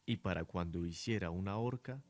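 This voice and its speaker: A man's voice: sits low, moderate pace, background noise. A man reading scripted narration for a radio drama in a dramatic storytelling manner.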